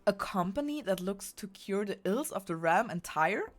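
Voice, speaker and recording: A weary, dismissive merchant who wants no part of other people's troubles, as a woman with a German accent reads the game lines aloud. It is delivered in a mid-pitched, everyday voice with barely any put-on character. Soft game music plays underneath. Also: lively intonation, moderate pace, natural voice. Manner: reading game dialogue aloud.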